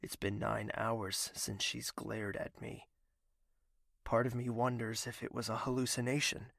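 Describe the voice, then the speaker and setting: Guy acting as lovesick stubborn prince, audio drama, earnest n melodramatic, forceful bright voice, real dry sound. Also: medium pitch, steady tone, unhurried, vocal fry, no room sound. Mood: anguished, wounded.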